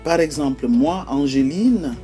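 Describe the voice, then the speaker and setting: Woman, Cameroonian accent, vlog host, slow deliberate pace, hesitant fillers, faint background music. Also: low-pitched, expressive, mellow. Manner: measured, lists examples one by one with frequent hesitations. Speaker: YouTube host. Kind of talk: explanatory vlog talk.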